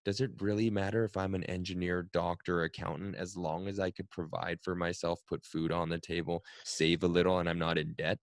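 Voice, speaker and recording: Brief: male host, 30s, Cantonese native, very low voice, dry close sound, musing and chatty. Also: level tone, a little fast, few pauses, no echo. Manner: reflective, frequent "right?" tag questions. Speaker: podcast host. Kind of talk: casual conversational interview.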